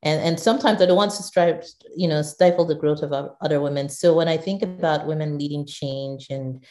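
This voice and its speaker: Low, mellow-voiced woman from Nigeria. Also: brisk, echoey room. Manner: thoughtful, with frequent restarts and fillers. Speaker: guest, digital executive. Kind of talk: conversational interview.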